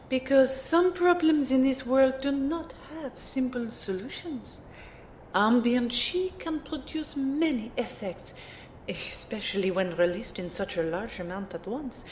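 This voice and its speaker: In a roomy recording, an actress plays a refined female mentor of magic with a fairly high voice. She speaks formally and precisely, teasing like a playful teacher in an audio drama, and takes her time over every phrase. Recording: roomy amateur recording, faint rumbling noise.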